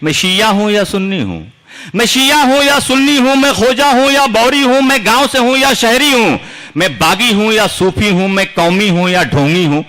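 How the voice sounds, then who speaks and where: High, lively declaiming poet. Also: male voice, moderate pace. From India. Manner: declamatory, repeats lines for effect. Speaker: poet at a recital. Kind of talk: reciting humorous and serious verse. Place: large outdoor poetry gathering.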